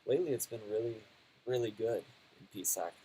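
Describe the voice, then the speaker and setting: A man hosting a casual travel live stream, reading viewer questions with relaxed, hesitant chatter and fillers. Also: fairly low pitch, brisk, husky, poor audio.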